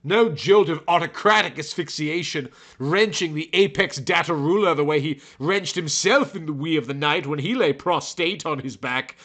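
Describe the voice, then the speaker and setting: Bright, theatrical male narrator. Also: on the high side, moderate pace, hardly any pauses. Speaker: narrator reading a fiction chapter. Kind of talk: dramatic, overwrought scripted narration.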